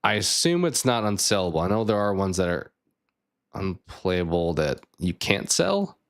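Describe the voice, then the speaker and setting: A man streaming a card game live, thinking aloud and chatting with viewers, his voice a bit raspy. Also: deep voice, big pitch swings, normal speed. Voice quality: slightly raspy. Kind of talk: casual live gameplay chat.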